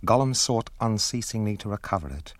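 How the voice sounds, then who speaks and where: A man's voice: on the low side, lively intonation, fairly quick, no room sound. A man narrating a radio drama in a solemn, grave and portentous storytelling manner. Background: music.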